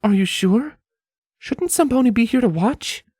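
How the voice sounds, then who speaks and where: A man voicing a cautious, pragmatic female pony who is protective and wry, speaking in a plain, measured, slightly formal way for a storybook reading. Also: very high-pitched, expressive, mellow, breathy edge, no echo, studio quality, pitched above the performer's own voice, exaggerated voice. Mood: questioning, concerned.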